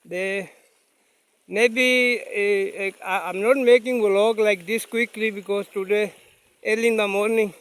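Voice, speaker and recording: A man whose first language is Urdu, vlogging outdoors by a mountain stream in halting, simple English with many fillers, filmed on a phone without a separate mic. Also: high-pitched, fairly even intonation, fairly bright tone, smooth. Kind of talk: casual vlog narration. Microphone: phone camera, no separate mic.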